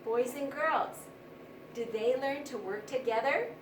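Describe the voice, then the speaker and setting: A woman's voice: sits high, a little slow. A woman teaching young children in a read-aloud lesson, asking questions and prompting them to repeat words. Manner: didactic, asks questions and prompts repetition. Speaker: primary school teacher. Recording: roomy, distant mic, faint noise.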